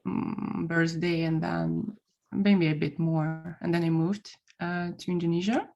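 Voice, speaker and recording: A woman in her thirties from Russia, speaking English with a Russian accent as her second language, chatting as a podcast guest in a relaxed, hesitant way. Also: lowish voice, unhurried, vocal fry, muffled recording. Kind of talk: casual chat.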